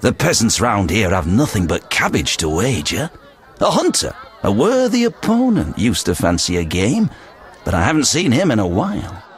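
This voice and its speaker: Wildly expressive wheedling gambler. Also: male voice, lowish voice, normal speed. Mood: coaxing. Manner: polite, flowery, insinuating. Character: smooth-talking, wheedling male dice gambler. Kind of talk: video game dialogue acting.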